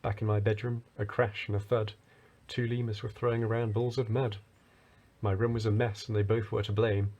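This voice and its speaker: A man narrating a rhyming children's story as a storyteller, with a husky voice. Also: lowish voice, little pitch movement, moderate pace, darkish tone, dull audio.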